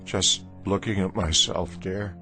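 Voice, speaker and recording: An actor playing a weary aging American man desperate for youth, speaking slowly and deliberately in a radio drama. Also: deep voice, fairly even intonation, music in the background. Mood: wry, calm. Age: older. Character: weary aging brother, desperate to become young again.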